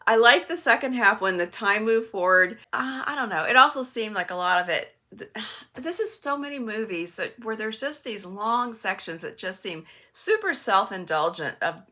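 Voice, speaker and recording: Bright-voiced older woman, casual book vlogger. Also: medium pitch, lively intonation, moderate pace. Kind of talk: casual chatty book vlog.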